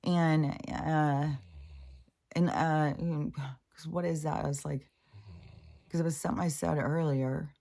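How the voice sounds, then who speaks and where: Lady with a real low scratchy voice just rambling on her channel, loses her train of thought, cusses a lot. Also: fairly even intonation, unhurried, creaky, pauses often, warm tone. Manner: loose and candid, drifts off mid-thought, swears freely. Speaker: spiritual channeler hosting her own channel. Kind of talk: rambling casual monologue.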